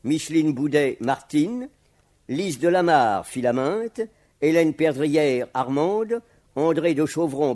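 A male radio announcer reads formally from a script. His voice sits a little high and moves in very lively contours. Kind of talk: formal scripted broadcast announcement of cast list.

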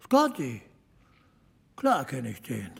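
Very gravelly, husky small-town boss. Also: male voice, mid-range voice, wildly swooping pitch, slow pace, fairly bright tone, big-room sound, heavily acted. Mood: wary, laconic. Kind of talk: radio drama dialogue.

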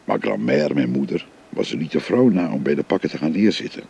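An actor playing an older father who gently confides his family history to a young woman, in a radio drama. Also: extremely low pitch, expressive, brisk, gravelly, husky, dull audio, exaggerated voice. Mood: gentle, confiding. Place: recorded on location.

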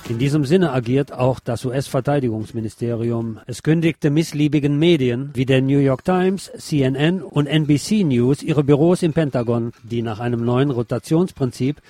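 A man's voice: medium pitch, normal speed, hardly any pauses, dark tone, no room sound, soft background music. A man presenting on radio, reading a written report aloud.

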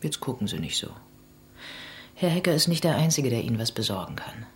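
Low-voiced, dry police detective. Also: female voice, sing-song, brisk. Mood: needling, pressing. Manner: dry, needling, insistent. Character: tough, sardonic female police detective. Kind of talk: naturalistic German radio drama acting.

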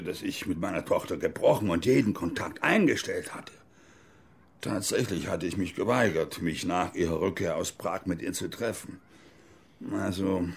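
Gravelly, embittered older ex-officer. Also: male voice, mid-range voice, full character voice. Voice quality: gravelly, husky. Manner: cynical, coarse, grudging. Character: embittered, gruff older male former East German army officer. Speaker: interviewee recounting his past. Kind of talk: naturalistic radio drama monologue.

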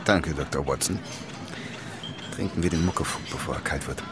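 Very deep, dry male detective. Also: moderate pace, over loud music. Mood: dry, wry. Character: calm, dryly witty police detective in 1910s Berlin. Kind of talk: radio drama acting. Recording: clear vehicle and ambience noise behind.

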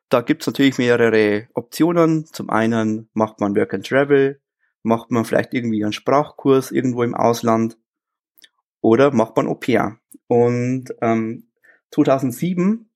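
A man in his thirties from Bavaria with a Bavarian accent, a guest in a casual interview, chatting easily with frequent filler sounds. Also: medium pitch. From Bavaria, Germany. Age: 30s.

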